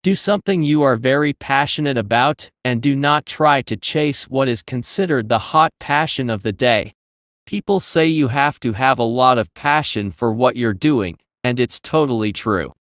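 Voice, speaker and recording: A man's voice: medium pitch, normal speed, a bit bright, no echo, studio quality. A man reading an exam practice passage aloud from a script, as a model reader.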